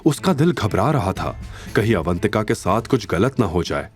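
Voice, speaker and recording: A man narrating a scripted story in a dramatic, expressive and suspenseful manner. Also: lowish voice, moderate pace, soft background music.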